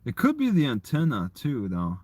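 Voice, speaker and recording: A man's voice: medium pitch, wildly swooping pitch, a little mellow. A man chatting casually on a livestream, rambling and often restarting his phrases. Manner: halting, repeats and restarts phrases. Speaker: live streamer.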